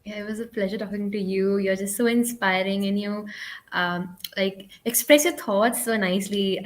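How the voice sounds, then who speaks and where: A woman co-host on a video call, thanking a guest in a grateful, gushing way. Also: mid-range voice.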